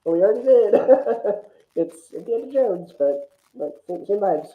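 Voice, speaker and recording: A man's voice: high-pitched, expressive, unhurried, roomy sound. A man chatting casually about films as a podcast panelist, over a muffled, thin connection. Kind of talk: casual film chat. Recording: muffled, thin phone-like line.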